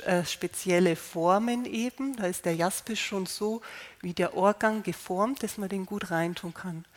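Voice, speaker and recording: A woman with a slight cold calmly explaining how to use healing stones, unhurried, with fillers and restarts. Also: on the low side. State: slight cold, clearing throat. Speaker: gemstone healing presenter.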